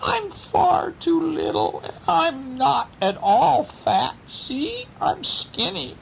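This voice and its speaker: A man voicing a tiny, timid young billy goat with a thin falsetto and pleading manner in a bedtime story. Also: big pitch swings, slow, gravelly, slight background noise, full character voice, poor audio. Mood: pleading, scared.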